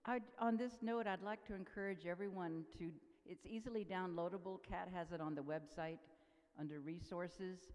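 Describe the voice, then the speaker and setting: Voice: mid-range voice, steady tone, moderate pace. A parish member casually encouraging the audience during a Q&A. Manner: encouraging, informal. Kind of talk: casual announcement. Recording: long reverb of a hall.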